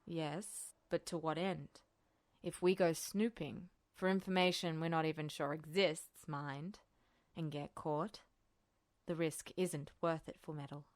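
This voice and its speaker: A woman voicing a guarded, weary male army colonel in an audiobook, speaking in a measured, deliberate way. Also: low voice, steady tone, dry recording, clean studio sound, pitched below the performer's own voice. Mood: wary.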